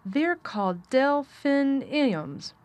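A woman's voice: high-pitched, big pitch swings, extremely slow, pitched above the performer's own voice, exaggerated voice. Woman playing a haughty, spoiled young boy. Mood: eager announcing. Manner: haughty, magnificent, declarative. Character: imperious, spoiled young invalid boy, grandly lordly.

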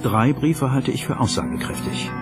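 A man's voice: medium pitch, moderate pace, close to an everyday voice. A man voicing a male investigator presenting evidence in a scripted crime documentary voice-over. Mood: matter-of-fact. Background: background music.

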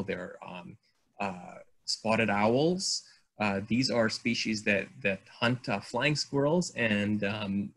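Middle-aged man from Hungary, slightly husky, eager and halting, video call audio. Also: on the low side, a bit bright, low-quality recording. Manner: enthusiastic, halting with many fillers and restarts. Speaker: guest artist. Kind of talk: casual video call interview. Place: home room.

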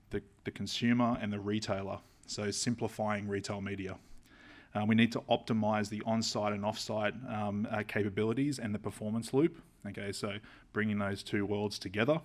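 An Australian man in his thirties gives a business presentation at a podium mic in a large conference room. His voice sits low and stays flat, with frequent "um" and "okay" and restarted phrases. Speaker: company executive presenting. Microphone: podium microphone.

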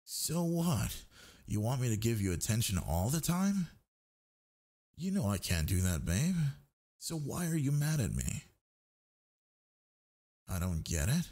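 A man playing a sleepy, needy gamer boyfriend in an intimate audio roleplay, coaxing in soft, breathy murmurs. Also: medium pitch, big pitch swings. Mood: pleading, coaxing. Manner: coaxing, murmuring, drawn-out words.

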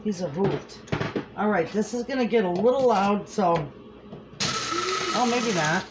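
Slow, chatty homesteader woman in her kitchen. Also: mid-range voice, very animated. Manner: chatty, homey, laughs easily. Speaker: homestead cooking vlogger. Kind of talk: casual kitchen chat to camera. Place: home kitchen, indoors. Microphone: camera across the kitchen. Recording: noisy home kitchen audio.

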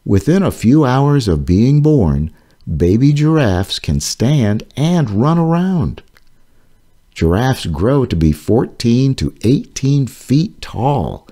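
A man reading his own children's nonfiction book aloud, slowly and carefully. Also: fairly low pitch, dark tone. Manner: slow, careful, clearly paced reading. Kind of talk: read-aloud narration for children.

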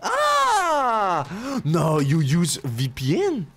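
A young French man with a French accent, chatting excitedly while streaming a game, mixing French and broken English. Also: high-pitched, extremely slow, husky. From France. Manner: animated, shouty, mixes French and broken English. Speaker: gaming streamer. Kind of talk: excited gameplay chat with a stranger. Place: home gaming room. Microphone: headset mic.

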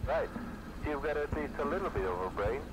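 A man's voice: fairly high pitch, very animated, fairly quick, background noise. An actor playing a snarky, money-minded younger male space scavenger in a video game, sardonic and reluctant. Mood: condescending.

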